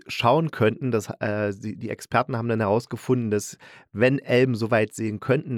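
A man's voice: mid-range voice, no room sound, clean studio sound. A man giving a casual, colloquial spoken review as a podcast host, enthusiastic and fond of emphatic repetition.

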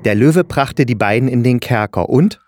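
A man's voice: medium pitch, normal speed, a little mellow, no room sound. Male storyteller for a kids' radio play: middle register, scripted narration, light music bed. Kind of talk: scripted children's fairy-tale narration.

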